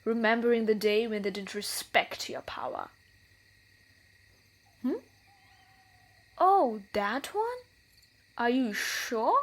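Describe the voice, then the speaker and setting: Woman voicing female outlaw thief, fantasy audio drama, theatrical, menacing, highish pitch, unhurried pace. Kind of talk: scripted fantasy roleplay audio acting.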